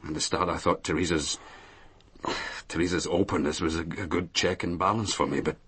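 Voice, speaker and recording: An actor playing a weary, lonely middle-aged businessman and ex-soldier in a radio drama, speaking haltingly and repeating himself. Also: sits low, steady tone, fairly bright tone, husky. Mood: hesitant, explaining. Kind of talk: naturalistic radio drama acting.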